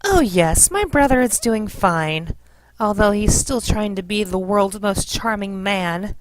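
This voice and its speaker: A polite, sisterly cartoon young lady, acted by a woman, speaks slowly and gently. Her refined, measured voice is recorded dry. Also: medium pitch, lively intonation, no echo, lightly acted, poor audio. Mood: fond. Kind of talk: scripted cartoon parody dub.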